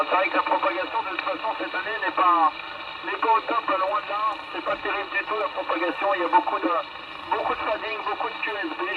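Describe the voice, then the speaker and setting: Dull noisy radio signal, man in a car, casual chat, high voice, repeated phrases. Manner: chatty, repeats phrases for confirmation. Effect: radio transmission. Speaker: mobile amateur radio operator. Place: moving car on the road. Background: loud radio and vehicle noise.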